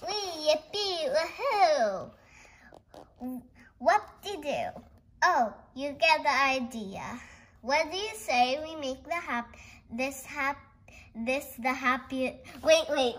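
A young girl reading a picture book aloud haltingly, with giggly, exclaiming casual asides. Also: high voice, expressive, a bit bright. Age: child. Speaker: host of a read-along video.

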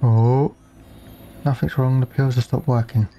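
A man's voice: mid-range voice, steady tone, a little slow, dark tone, slight background noise. A man playing a game and musing aloud in a wry, casual way. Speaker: let's play gamer.

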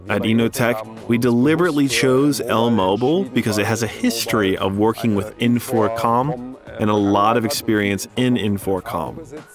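A man with a fairly low voice delivers a scripted corporate voice-over. His delivery is measured and formal. Music plays clearly underneath the narration. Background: background music.

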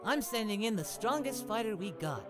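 A man's voice: sits high, animated, normal speed, music in the background. An actor playing a carefree, dim hero father in a parody anime dub, naive and breezy in manner. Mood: cheerful.

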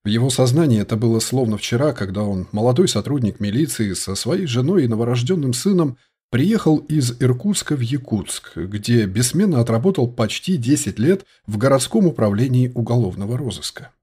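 A man's voice: medium pitch, animated, fairly quick, mellow, husky. A man reading a detective novel aloud as scripted audiobook narration.

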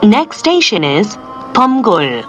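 A woman reading train station announcements in English, slowly and carefully enunciated, played over a train's speaker. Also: medium pitch, big pitch swings, faint music behind. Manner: slow, careful, clearly enunciated. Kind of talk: recorded transit announcement. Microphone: recorded on a handheld device inside the train. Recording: onboard speaker with chimes.

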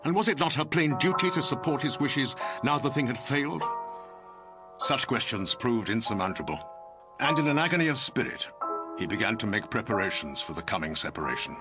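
An actor as the grave narrator of a radio drama, measured and literary. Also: male voice, medium pitch, big pitch swings, over music, natural voice.

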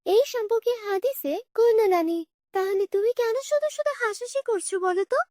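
A woman's voice: very high-pitched, moderate pace, a little mellow, no room sound, clean studio sound. A woman voicing an earnest, knowledgeable girl in a children's cartoon, firmly explaining rules to her friends. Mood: firm, scolding. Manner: didactic, explanatory.

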